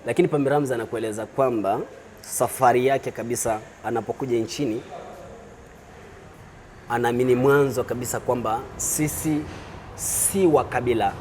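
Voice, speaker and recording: A man's voice: medium pitch, steady tone, a little fast, a little mellow, a little hiss or hum. A man with a Kenyan accent, hosting a conversational interview. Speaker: interview host.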